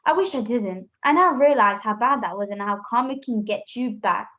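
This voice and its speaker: A woman's voice: on the high side, lively intonation, normal speed, a bit bright. A young woman playing a mother who patiently explains and corrects, in an amateur radio play. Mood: regretful. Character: patient, correcting mother telling her child history. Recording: dull lo-fi home recording.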